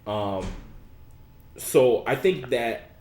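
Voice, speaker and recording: A man's voice: medium pitch, lively intonation, extremely slow, a little mellow. A man co-hosting a casual podcast chat, laying out his view in a laid-back, explanatory way. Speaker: podcast co-host. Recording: echoey room.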